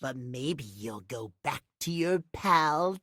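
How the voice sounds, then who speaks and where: A man's voice: medium pitch, expressive, takes it slowly, pushed and bright, no echo, studio quality, exaggerated voice. A man voicing a sinister, boastful and resentful clock character in a video game, taunting and menacing in the third person. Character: sinister, possessive hidden clock alter ego, boastful and resentful.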